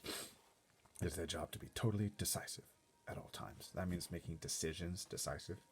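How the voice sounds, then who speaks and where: Very deep, gravelly male storybook reader. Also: moderate pace, a little hiss or hum. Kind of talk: children's book readaloud with casual explanatory asides.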